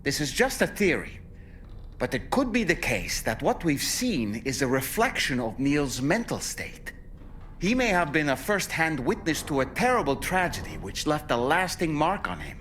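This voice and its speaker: Expressive, musing game companion man. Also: fairly high pitch, moderate pace, slight background noise. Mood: pensive. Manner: expressive, musing, speculating aloud. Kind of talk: video game cutscene dialogue.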